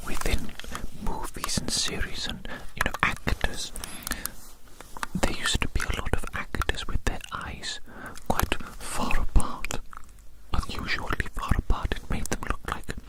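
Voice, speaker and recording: The voice is a breathy, unvoiced whisper from start to finish. It belongs to an ASMR roleplayer who speaks gently and soothingly. Phrases are often murmured again, as if to lull the listener. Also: unhurried, poor audio. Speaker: ASMR performer playing an examiner measuring a little creature.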